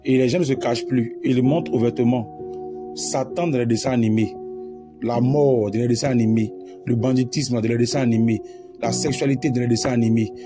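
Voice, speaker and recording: A man delivers an evangelist's warning in an ordinary mid-range voice. He is emphatic and repeats his phrases for weight. Guitar music plays clearly behind him. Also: medium pitch, fairly even intonation, fairly quick. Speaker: evangelist, channel presenter. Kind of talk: preaching, warning address.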